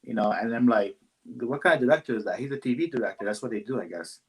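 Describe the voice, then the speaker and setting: A man's voice: mid-range voice, husky. Rambling man casting director talking casually. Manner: rambling, halting, full of fillers and laughs. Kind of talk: casual interview conversation.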